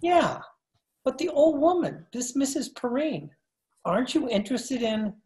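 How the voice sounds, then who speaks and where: A woman's voice: sits high, very animated, measured pace, darkish tone. A woman playing a polite, evasive mob lawyer in an old-time radio crime drama, formal and a little hesitant. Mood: flustered. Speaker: amateur radio play actor. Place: at home, recorded over video call.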